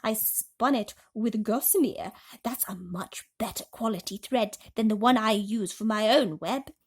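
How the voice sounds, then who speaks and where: A woman's voice: fairly high pitch, a little slow, breathy edge. A woman voicing a courteous, motherly spider in a children's storybook, speaking politely and attentively. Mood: proud, kindly.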